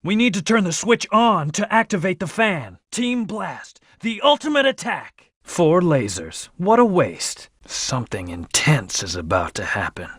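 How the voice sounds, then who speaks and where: A man's voice: fairly high pitch, big pitch swings, measured pace, few pauses, husky, no room sound, studio quality, heavily acted. A man playing a brooding, proud young antihero in a video game, speaking in terse, serious commands with a hard edge. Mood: urgent, alert, then cool, focused. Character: brooding, proud, amnesiac male antihero warrior. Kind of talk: short in-game hint and battle lines.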